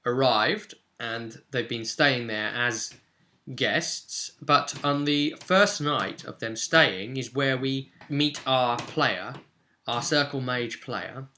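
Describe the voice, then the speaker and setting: A man giving a conversational, explanatory recap as a game master, often pausing mid-phrase as he tells the story. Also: medium pitch, sharp tone, a little hiss or hum.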